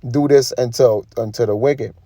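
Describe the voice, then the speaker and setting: A man's voice: mid-range voice, fairly even intonation, normal speed, warm tone, no room sound, clean studio sound. A man giving an informal sermon, reading scripture and commenting in an emphatic, colloquial way with frequent tag questions. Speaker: preacher.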